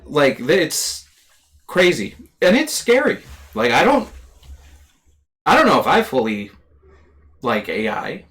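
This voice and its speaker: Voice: mid-range voice, huge pitch swings, slow, pauses often, fairly bright tone. A person in their late twenties chatting casually as a podcast co-host. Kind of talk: casual group chat.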